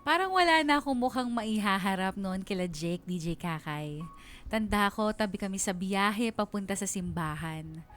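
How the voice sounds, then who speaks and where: Actress as a bashful Filipina storyteller over music. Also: female voice, medium pitch, normal speed, fairly bright tone, lightly acted. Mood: embarrassed. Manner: confiding, self-mocking. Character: bashful young woman recalling embarrassing childhood memories. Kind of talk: dramatized radio story narration.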